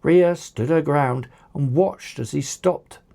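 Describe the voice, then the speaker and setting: A narrator reading an action story as its author, with animated, dramatic delivery and acted dialogue. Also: mid-range voice, slow pace, gravelly. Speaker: author narrating own book.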